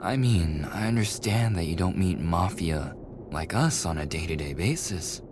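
A man playing a smug, menacing mafia prince in an immersive roleplay audio drama, possessive and coolly charming, with deliberate teasing threats and breathy murmurs. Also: sits low, lively intonation. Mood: soothing. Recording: clearly noisy background ambience.

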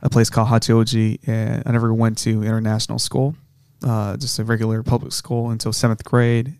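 Brief: young man from Japan, Japanese native, soft and hesitant, some vocal fry, dry sound. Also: fairly low pitch, monotone, a little fast, no room sound. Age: 20s. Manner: soft-spoken, hesitant, polite. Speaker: guest musician.

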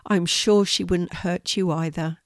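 Woman as a kind male stable animal, unhurried, gentle, calm, reassuring, children's storybook, dry close recording. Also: on the low side, no echo.

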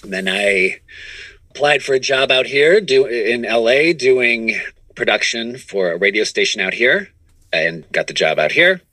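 A man's voice: mid-range voice, expressive, measured pace, pushed and bright, dry recording. A middle-aged man being interviewed, telling his story in a casual, conversational way. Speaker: interview guest, comedy writer and voice performer.

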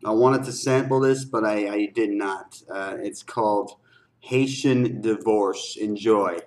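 A man's voice: lowish voice, a little slow, darkish tone. A man hosting a reaction video with casual, slangy commentary, often repeating phrases for emphasis.

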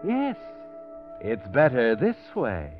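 A man's voice: mid-range voice, huge pitch swings, takes it slowly. A man voicing an ominous narrator who talks to the killer in second person, in a 1940s radio drama. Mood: insinuating. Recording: muffled old radio broadcast with music.

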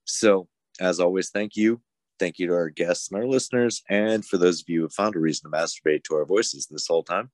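A man's voice: low voice, a little fast, dry recording. A man co-hosting a podcast, congested, thanking the guest and listeners with dry humor. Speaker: podcast co-host. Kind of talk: casual podcast chat, sign-off.

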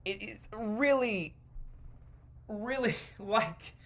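A man hosting a podcast, delivering a rambling, sardonic and exasperated opinion rant with halting, emphatic phrasing. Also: high-pitched, expressive, vocal fry, a bit bright. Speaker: podcast host.

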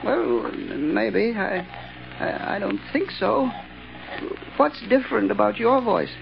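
A man's voice: high voice, big pitch swings, takes it slowly, creaky, exaggerated voice. An actor playing an unhinged, stammering and giggling male subway night watchman in a 1930s radio drama. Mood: giggly. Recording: muffled 1930s radio recording with hiss.

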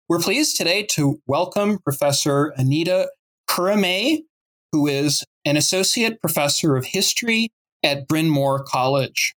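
A man's voice: a little high, lively intonation, a little slow, a bit bright, poor audio. Male podcast interviewer. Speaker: podcast host. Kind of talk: scripted introduction, then conversational interview.

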